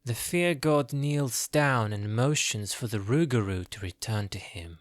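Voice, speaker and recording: Man, scripted fantasy narration, mid-pitched, very dry recording. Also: animated, a little slow, no room sound.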